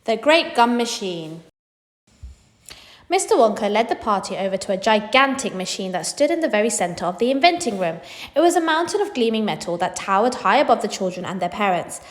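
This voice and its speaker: A woman reads a children's storybook aloud with lively, swooping intonation. Her delivery is theatrical and expressive, and the recording carries a long hall-like reverb. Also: medium pitch, a little fast. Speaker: storybook reader. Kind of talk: expressive read-aloud narration of a children's book.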